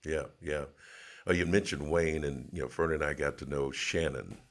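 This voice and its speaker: A man's voice: extremely low pitch, steady tone, moderate pace, fairly bright tone. A man hosting an interview, chatting and affirming his guest with admiring remarks. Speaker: host. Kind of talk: interview chat.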